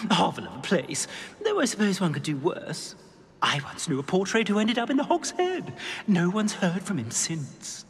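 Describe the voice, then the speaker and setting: A man's voice: sits high, fairly bright tone, in a large hall, exaggerated voice. A man acting an indignant, haughty talking portrait of a wigged wizard in a video game, blustering theatrically.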